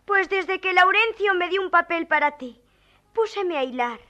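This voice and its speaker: A woman's voice: very high-pitched, expressive, moderate pace, pushed and bright, heavily acted. An actress playing a naive young woman in a classical verse comedy, in vintage radio theatre style. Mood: plaintive, naive. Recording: dull archive radio recording. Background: faint music.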